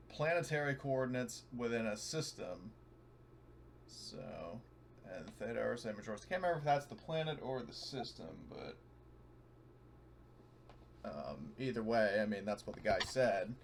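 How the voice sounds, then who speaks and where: A man playing a tabletop roleplaying game, chatting casually and explaining in a rambling way. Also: lowish voice. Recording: faint background noise.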